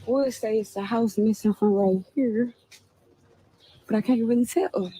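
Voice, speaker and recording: A woman's voice: fairly high pitch, unhurried, warm tone, husky, low-quality recording. A woman in a played clip, talking casually to the camera in a defiant, preachy manner about faith and spirits.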